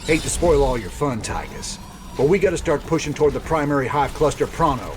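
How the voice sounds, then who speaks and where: A man's voice: on the high side, lively intonation, a little fast, background noise, close to an everyday voice. An actor playing a determined male rebel commander with a plain-spoken, resolute drawl in a video game cinematic. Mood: calm, firm.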